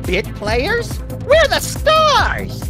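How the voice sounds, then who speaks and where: An actor voicing a bratty, bloodthirsty little rabbit creature with an American accent, brash and sarcastic, in an animated comedy game. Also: male voice, very high-pitched, extremely slow, full character voice. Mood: indignant, snide. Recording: loud music and rain behind.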